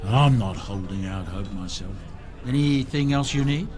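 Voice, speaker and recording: A man's voice: on the low side, normal speed, a little mellow, close to an everyday voice. A man playing a world-weary tavern barkeep in a fantasy video game, casual and matter-of-fact as he passes on news. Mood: grim, resigned.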